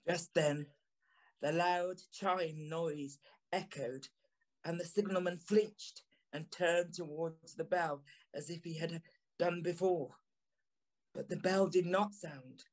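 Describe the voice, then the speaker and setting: A gentleman storyteller narrating an eerie Victorian audio play. His voice sits a little high, and his delivery is measured and suspenseful. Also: steady tone. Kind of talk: scripted dramatic narration.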